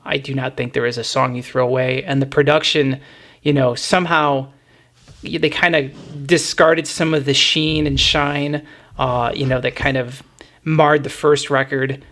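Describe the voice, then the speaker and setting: A man's voice: mid-range voice, level tone, normal speed, a bit bright. A middle-aged man hosting a music podcast, talking casually and explaining things in a reflective way.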